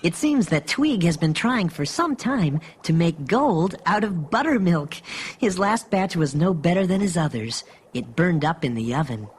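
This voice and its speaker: An actor playing a gentle, earnest toy bear who narrates a children's audio storybook. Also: male voice, on the high side, sing-song, normal speed, slight background noise. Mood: matter-of-fact.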